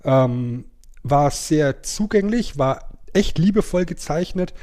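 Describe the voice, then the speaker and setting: A man's voice: on the high side, expressive, slow pace, darkish tone. A middle-aged man, co-hosting a podcast in an informal, explanatory way. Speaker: podcast co-host. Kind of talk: casual podcast chat.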